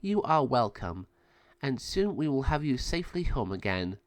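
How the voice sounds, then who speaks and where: A man voicing a wise, kindly but firm godmother sage in a children's storybook, solemn and measured. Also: mid-range voice, sing-song, no echo, close to an everyday voice.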